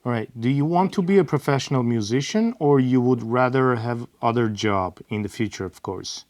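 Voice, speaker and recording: A middle-aged man hosts a relaxed question-and-answer session, reading viewer questions aloud in a plain, easygoing way. He pauses often on "uh" as he talks. His voice sits at a middling pitch and is recorded dry, with no room sound. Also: dry recording. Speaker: host, father of the bassist.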